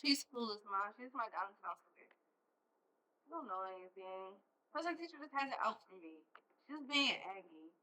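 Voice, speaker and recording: A woman's voice: medium pitch, lively intonation, moderate pace, bright, forceful tone, rough recording. A woman playing a defiant, exasperated sixteen-year-old American schoolgirl in a stage play, arguing in slangy, defensive speech.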